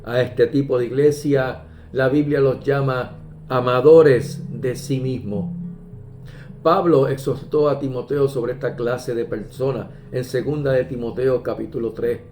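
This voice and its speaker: A man preaching a sermon, measured and emphatic, pausing often and quoting scripture. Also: medium pitch, fairly even intonation. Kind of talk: slow deliberate sermon. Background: soft guitar music.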